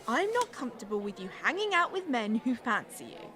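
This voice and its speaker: A woman's voice: on the high side, very animated, brisk, pushed and bright. An actress playing a manipulative, theatrical young girlfriend in a radio drama, gushing one moment and self-pitying the next. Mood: accusing. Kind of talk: naturalistic radio drama acting.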